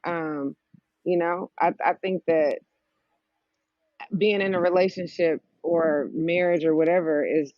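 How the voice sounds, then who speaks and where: A woman's voice: lowish voice, fairly even intonation, a little slow, pauses often, no room sound, dull audio. A woman comedian speaking as a podcast guest in casual chat, hesitant and guarded.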